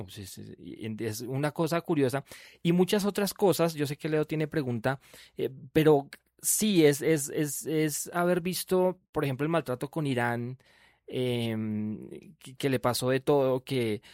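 A man's voice: mid-range voice, a little mellow, dry recording, clean studio sound. A man hosting an interview, chatty and full of fillers and restarts. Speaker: radio host.

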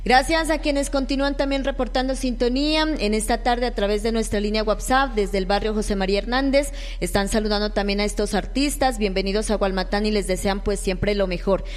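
A woman with a Colombian accent hosting a live local radio interview, halting at times as she searches for words. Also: mid-range voice, fairly even intonation. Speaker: radio host interviewing musicians. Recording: echoey hall-like reverb.

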